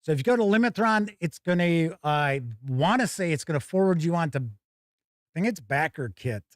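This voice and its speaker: Animated, high-pitched man hosting gaming news. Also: normal speed, no echo, studio quality. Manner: folksy, conversational, emphatic. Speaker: host of a tabletop gaming news show. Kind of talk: enthusiastic news and review commentary, part scripted, part off the cuff.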